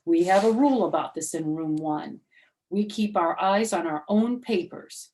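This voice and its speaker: A woman voicing a firm, patient male elementary school teacher in a storybook, speaking in a deliberate, admonishing way. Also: fairly low pitch, expressive, darkish tone, a little hiss or hum, natural voice, rough recording. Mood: stern, disappointed.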